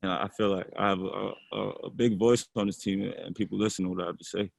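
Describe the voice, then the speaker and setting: Young male athlete, lowish voice, easygoing, restarts his words, dry close sound. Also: fast talker, vocal fry, dry recording. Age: young adult. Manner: relaxed, repeats words while thinking. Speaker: college basketball player. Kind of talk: casual interview answers.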